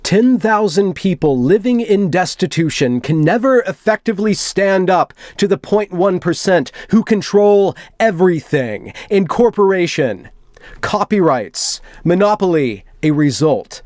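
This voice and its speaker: A man performs an aggrieved, self-important male forum poster delivering a grand manifesto. The voice is a little high and declamatory, with theatrical weight on the phrases. It is recorded very dry, as for an online video. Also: darkish tone, no echo. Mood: indignant, grandiose.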